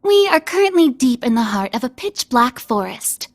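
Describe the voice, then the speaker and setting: Lofty clan elder, strikingly high. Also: female voice, very animated, normal speed, exaggerated voice. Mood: haughty, explanatory. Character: haughty, knowing elder of a hidden magical clan. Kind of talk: dramatic video game acting.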